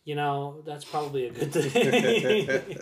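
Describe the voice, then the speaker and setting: A man co-hosting a podcast in casual chat, joking and quick to quip. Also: on the high side, expressive, slight background noise. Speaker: podcast co-host.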